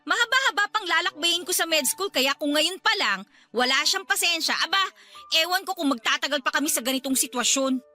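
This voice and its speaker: A woman's voice: high voice, animated, brisk, pushed and bright, soft background music, full character voice. A woman playing a stressed, irritable young medical student and older sister in a radio drama, complaining emphatically. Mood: frustrated, complaining. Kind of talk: radio drama dialogue in Filipino.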